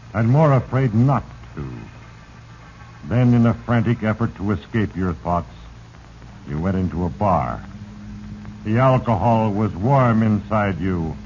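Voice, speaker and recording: Slow, deep, sinister radio Fate played by a man. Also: a little mellow, natural voice. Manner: deliberate, heavy-handed, accusing. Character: Fate, sinister omniscient male narrator manipulating doomed men. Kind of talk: 1940s radio drama narration in second person. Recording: muffled, noisy 1940s radio recording.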